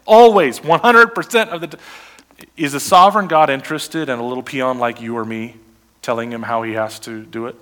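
A man preaching a sermon as pastor, animated and full of rhetorical questions to the congregation. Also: medium pitch, a little fast.

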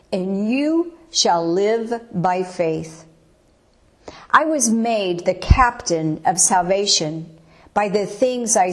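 Woman, devotional reader, unhurried and solemn, steady even delivery. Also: mid-range voice. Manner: measured, solemn, even cadence. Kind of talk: slow devotional reading of scripture-style declarations.

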